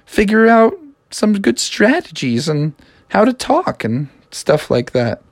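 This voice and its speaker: A woman's voice: medium pitch, big pitch swings, slow pace, dry recording, voice pushed up, exaggerated voice. A woman doing a friendly frog, Froggy. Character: friendly helpful frog, male.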